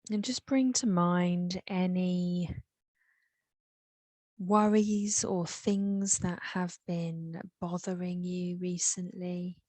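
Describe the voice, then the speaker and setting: A woman with an English accent leading a guided meditation, soothing and unhurried, with long pauses. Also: on the low side, steady tone, a little mellow, dry recording.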